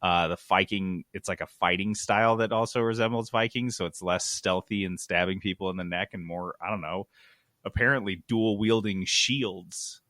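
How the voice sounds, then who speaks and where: Man, bright forceful voice, dry close sound, casual gaming chat, excitable, sweary, podcast host. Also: sits low, normal speed, no room sound.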